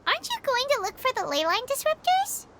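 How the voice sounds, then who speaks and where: A woman voicing a tiny, childlike fairy companion in a video game, squeaky and bubbly, curious and blunt. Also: sing-song, brisk, heavy background noise, exaggerated voice. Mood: curious, musing. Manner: bubbly, speaks of self in third person. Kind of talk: animated video game character acting.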